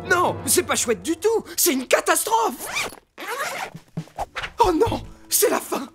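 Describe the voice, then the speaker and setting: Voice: very high voice, sing-song, slow pace, exaggerated voice. An actor voicing an anxious, proud male fox disguised as a dog in a children's cartoon, with dramatic, exclamatory delivery. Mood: panicked. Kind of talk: animated children's cartoon dub. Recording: loud music under the voice.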